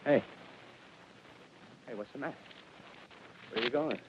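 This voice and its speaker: A man's voice: medium pitch, unhurried, a little hiss or hum, natural voice. An actor playing a power-hungry male soldier in an old radio drama, talking in a feverish, persuading way. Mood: pleading. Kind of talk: 1930s radio drama acting. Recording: muffled old radio broadcast.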